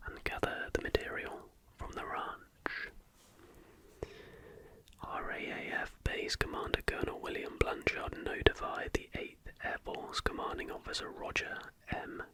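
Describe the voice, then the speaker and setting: ASMR narrator needed: fully whispered, breathy delivery of scripted factual material in short measured phrases. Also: extremely high pitch. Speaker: ASMR video host.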